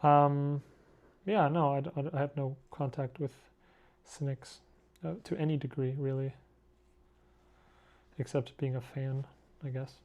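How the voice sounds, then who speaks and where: A young man born in Korea, an artist chatting casually with viewers while he draws on a live stream, self-deprecating and quick to laugh. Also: medium pitch, level tone, a little mellow, muffled recording. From born in Korea, raised abroad. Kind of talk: casual live-stream chat reading comments.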